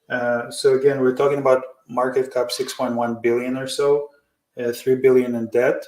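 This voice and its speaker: A man's voice: mid-range voice, darkish tone. A man giving informal, off-the-cuff financial commentary as a host, wordy and often circling back on his phrases. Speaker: host of an investing commentary show. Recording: roomy, echoey sound.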